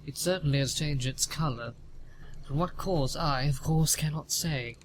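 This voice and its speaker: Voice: medium pitch, moderate pace, a little hiss or hum, natural voice, poor audio. Man playing an imperious, pompous aristocratic aunt. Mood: gossipy, stately. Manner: pompous, disapproving, formal. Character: imperious older aristocratic woman, haughty aunt. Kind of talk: audio play comedy of manners.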